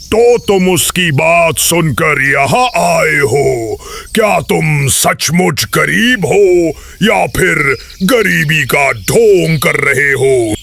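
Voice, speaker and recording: A man playing a solemn, stern hermit sage who grants boons in grand, drawn-out pronouncements in a children's cartoon. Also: fairly high pitch, lively intonation, gravelly, pushed and bright, exaggerated voice. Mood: stern questioning. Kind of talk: Hindi cartoon moral-tale voice acting.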